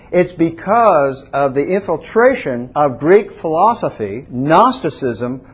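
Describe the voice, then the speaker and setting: Husky-voiced man preaching to a congregation. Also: a little high. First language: not Hebrew. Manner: teaching, asks congregation questions. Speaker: pastor. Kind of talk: sermon. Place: church.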